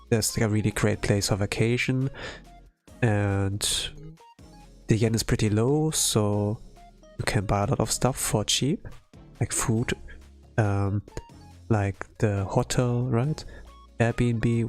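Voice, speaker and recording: A man's voice: mid-range voice, a little mellow. German young man streamer, casual rambling chat, faint music behind. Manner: rambling, many fillers.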